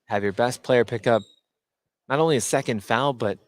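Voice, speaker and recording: An American man calling live high school basketball play-by-play for radio. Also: normal speed, dry recording. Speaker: play-by-play sports announcer. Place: courtside in a school gym. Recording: noisy gym broadcast, bouncing balls behind. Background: gym ambience, basketball bounces.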